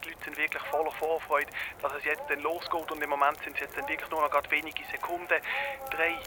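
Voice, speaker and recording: A man's voice: fairly high pitch, level tone, fast, background noise. A man playing a live radio reporter, heard through a radio in a drama. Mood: expectant. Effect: radio broadcast.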